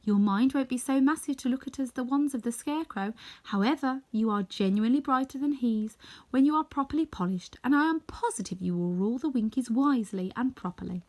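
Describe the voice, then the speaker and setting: A kind good witch in a storybook, acted by a woman reader. She sounds regal and assured, in a high feminine voice. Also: lively intonation, a little fast, darkish tone. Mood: assured, gracious. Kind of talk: children's storybook read-aloud.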